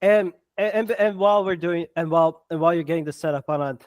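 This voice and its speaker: A man's voice: on the high side, dry recording. A man in his thirties co-hosting a casual sports podcast, laughing often and chiming in. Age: 30s. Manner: laughs often, quick interjections. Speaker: podcast co-host.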